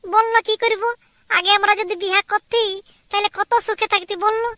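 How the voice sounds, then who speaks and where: A woman with a Purulia Bengali accent voicing a scornful, scheming young wife in an amateur cartoon, blunt and nagging, through a pitched-up voice filter. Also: steady tone, moderate pace, pushed and bright, dry recording. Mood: conspiratorial, discontented.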